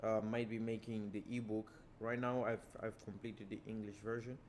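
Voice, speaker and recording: A fairly low-pitched man whose first language is Portuguese talks viewers through price charts in a loose, casual lesson. He keeps it relaxed and keeps returning to the same stock phrases. A faint hum of ambient noise sits under his voice. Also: moderate pace. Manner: relaxed, repeats stock phrases like "obviously" and "let us dive straight to the charts". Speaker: trading educator.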